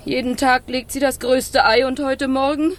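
A woman playing a fussy, plaintive hen keeper who is quick to scold, in a children's radio play. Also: high-pitched, level tone, close to an everyday voice. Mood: searching, worried.

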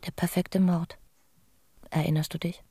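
An actress playing a doomed, poisoned woman in a German radio drama, voicing her last letter with hushed resignation. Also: on the low side, flat delivery, unhurried, creaky, breathy edge, exaggerated voice. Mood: resigned dread.

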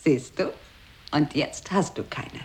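A woman's voice: low-pitched, expressive, slow, a little hiss or hum, rough recording. An actress playing a playful, rule-setting woman with a spiteful streak in an avant-garde radio play, speaking in cryptic, clipped lines. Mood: playful, instructing.

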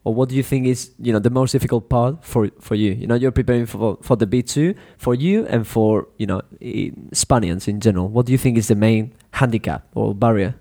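A man's voice: mid-range voice, a little fast, gravelly, hardly any pauses, warm tone. A man hosting a podcast as an English teacher, interviewing a student in a loose, rambling way with many "you know" fillers. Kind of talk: casual interview chat.

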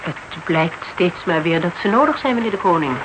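An actress in a radio drama playing a composed, persistent professional woman with a formal, measured, businesslike manner. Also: lowish voice, animated, close to an everyday voice. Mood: cool, formal. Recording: noisy radio drama recording.

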